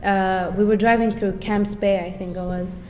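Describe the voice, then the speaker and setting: An eighteen-year-old woman from Sweden with a Swedish accent, speaking in a measured, thoughtful way as an exchange student in a casual discussion. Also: medium pitch, fairly even intonation, warm tone. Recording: reverberant room, faint background noise.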